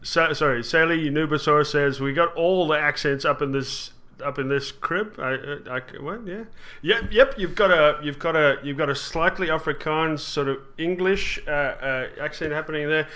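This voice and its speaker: A man's voice: fairly high pitch, normal speed, bright, forceful tone. A man hosting a casual gaming podcast, rambling and laughing at his own jokes, with a hard-to-place accent. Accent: mixed, hard to place. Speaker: podcast host. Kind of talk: casual chat.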